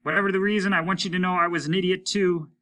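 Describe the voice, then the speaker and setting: A man's voice: high voice, steady tone, quick pace, sharp tone, voice pushed up. A man reading an audiobook, voicing a blunt, embittered, repentant aging male astronaut who speaks emphatically, as if confessing. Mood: ashamed, bitter. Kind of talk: dramatic audiobook character reading.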